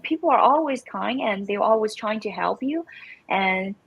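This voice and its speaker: A woman whose first language is Chinese talks as a guest on an online call. Her voice is slightly husky and sits at a middle pitch, dry with no room sound. She speaks haltingly, restarting and repeating words as she goes. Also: animated, no room sound, dull audio. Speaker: guest, community manager. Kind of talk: casual panel chat.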